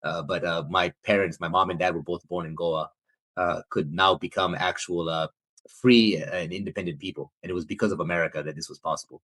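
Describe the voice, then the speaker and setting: A man's voice: sits low, steady tone, brisk, a bit bright, dry recording. A man in his forties from India, earnestly telling his family's immigration story with frequent fillers. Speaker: interviewee. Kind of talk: personal story interview.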